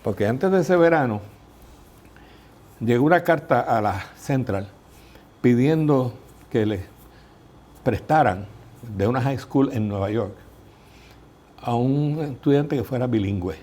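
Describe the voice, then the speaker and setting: Husky older Puerto Rican man telling stories. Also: mid-range voice, unhurried, long pauses. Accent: Puerto Rican Spanish. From Puerto Rico. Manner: lively anecdotal storyteller, mimics voices in quotes. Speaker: guest. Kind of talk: storytelling interview.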